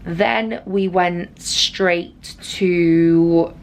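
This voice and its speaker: A woman with a naturally raspy voice, hoarse from losing her voice, chatting through a rambling vlog recap in her cruise cabin on a handheld camera. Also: fairly low pitch, fairly even intonation, very slow, a little hiss or hum. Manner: chatty and rambling, with frequent fillers. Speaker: travel vlogger. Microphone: handheld compact camera.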